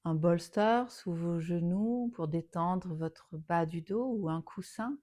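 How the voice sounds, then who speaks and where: A woman teaching yoga nidra, guiding a relaxation meditation in a soothing, unhurried manner, with phrase endings softly trailing towards a whisper. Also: on the low side.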